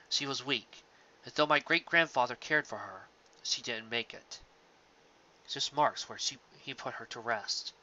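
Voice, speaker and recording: Man acting a gentle, solemn pony father, hushed. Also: mid-range voice, highs cut off. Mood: solemn, tender. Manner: hushed, tender, earnest. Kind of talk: dramatic storybook reading.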